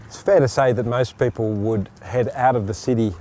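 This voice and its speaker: A man's voice: mid-range voice, steady tone, fairly quick, dark tone, faint music behind. A man with an Australian accent presenting in a descriptive first-person narration.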